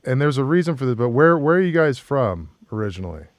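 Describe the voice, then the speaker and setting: A North American man hosts a talk show in a casual, conversational way. His voice sits at an ordinary male pitch, and the sound is close and dry. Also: big pitch swings, fast talker, no echo. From Portland, Oregon, United States. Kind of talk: casual interview chat.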